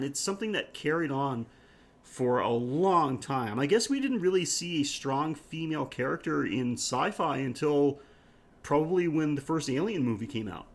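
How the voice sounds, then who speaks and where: Give me a male podcast host with a medium-pitched voice, casual, sweary and quick to laugh in a film discussion. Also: a bit bright. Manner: laughs easily while talking. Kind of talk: casual film review chat.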